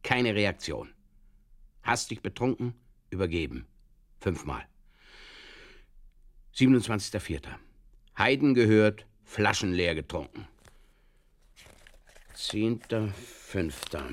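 A man playing a despairing drinker who reads out absurd diary entries in terse, deadpan fragments, in a comic audio drama. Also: fairly low pitch, takes it slowly, pauses often. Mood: glum deadpan.

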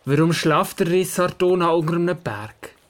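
A man's voice: on the high side, normal speed, no room sound, lightly acted. An actor with a Swiss German accent playing a curious, imaginative grandson who narrates his adventures in a radio play. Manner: inquisitive, childlike storytelling. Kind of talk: scripted first-person radio play narration.

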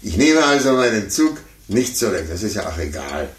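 A pompous, fussy older gentleman. He mutters to himself and talks down to others in animated swings. It is an old radio play with a roomy, noisy sound. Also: lowish voice, moderate pace. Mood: flustered, pompous. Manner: muttering to himself, patronizing, self-important. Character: condescending, fussy, self-important older gentleman chess amateur. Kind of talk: 1950s radio play acting.

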